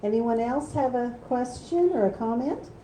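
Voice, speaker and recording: Woman moderator, very mellow dark tone, affirming; roomy bookstore acoustics. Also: a little high, normal speed, a little hiss or hum. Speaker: event interviewer. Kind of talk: moderating a book talk. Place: bookstore event space.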